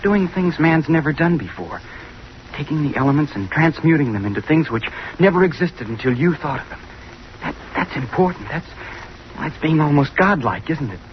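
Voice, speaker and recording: An actor playing an earnest, awkward, ambitious young chemistry student in an old-time radio drama, hesitant and over-polite. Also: male voice, on the high side, expressive, normal speed, lightly acted. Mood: earnest, dreamy. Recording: muffled, noisy old radio recording.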